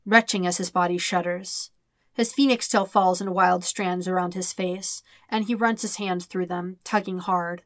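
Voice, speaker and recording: A woman's voice: on the low side, fairly bright tone, no echo, clean studio sound. A woman narrating a story in a dramatic, scripted audiobook style. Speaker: fan fiction narrator.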